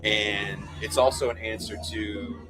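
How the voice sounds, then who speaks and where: A man with a booming voice giving opinions in a casual podcast discussion, making his points emphatically in repeated phrases. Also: fairly even intonation, a little slow. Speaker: podcast host. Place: bar. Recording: loud music behind the voice. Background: loud music.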